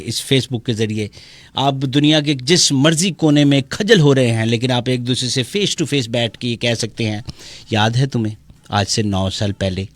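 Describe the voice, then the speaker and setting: A man's voice: medium pitch, dry recording. A male radio call-in host with a Pakistani Punjabi accent, bantering playfully and mock-seriously, teasing listeners and mixing languages. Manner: switches between languages mid-talk. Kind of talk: playful live radio banter with comic monologue. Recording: loud music behind the voice. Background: music.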